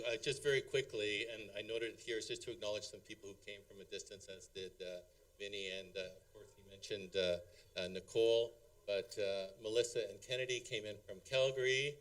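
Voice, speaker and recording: A man's voice: mid-range voice, a bit bright, distant mic. A middle-aged man giving a rambling, hesitant wedding speech as father of the bride, full of ums and chuckles. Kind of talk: halting, unscripted wedding toast. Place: wedding reception hall.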